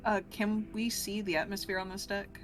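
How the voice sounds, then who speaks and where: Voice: medium pitch, level tone, normal speed, over music. A tabletop game player talking casually and thinking aloud while discussing what to do next.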